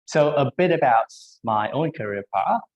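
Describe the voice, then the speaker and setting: A man in his thirties from Malaysia, giving an online career talk over a video call and pausing often with ums and uhs. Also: medium pitch, expressive, highs cut off. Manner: hesitant, many ums and uhs. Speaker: biomedical engineer, panel speaker.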